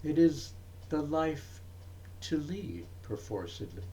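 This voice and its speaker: Male poetry reader, slightly high-pitched, very slow delivery, emphatic phrasing broken by frequent pauses. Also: sing-song, warm tone, a little hiss or hum, poor audio. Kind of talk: dramatic poetry recitation.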